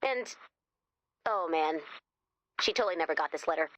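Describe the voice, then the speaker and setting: A woman playing an eager, soft-hearted young guide in a video game, chatty and expressive in a conversational way. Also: deep voice, normal speed, muffled recording. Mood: touched, tender.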